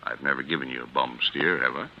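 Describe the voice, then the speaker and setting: Fatherly American law boss, middle-aged, low-voiced, earnest and soothing; vintage radio drama, dull old recording. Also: fast. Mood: fatherly, reassuring. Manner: earnest, avuncular. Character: fatherly, reassuring older male law boss. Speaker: defense attorney, head of a law office. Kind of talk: 1940s radio acting.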